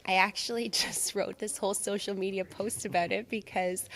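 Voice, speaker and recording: A woman's voice: mid-range voice, steady tone, moderate pace, fairly bright tone. Upbeat woman in an interview. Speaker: product creator being interviewed. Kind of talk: conversational interview answers.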